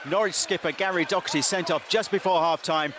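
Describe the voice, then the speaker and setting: A man's voice: high-pitched, fairly even intonation, brisk. A man giving a live report on a football match from the ground. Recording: clear outdoor ground noise.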